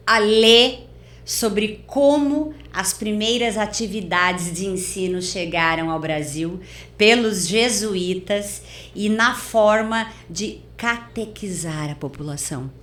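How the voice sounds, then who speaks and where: A woman's voice: on the low side, fairly bright tone. A Brazilian woman hosting a studio interview in an effusive, emphatic, enthusiastic way. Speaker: interview host. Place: recording studio. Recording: roomy echo, faint background noise.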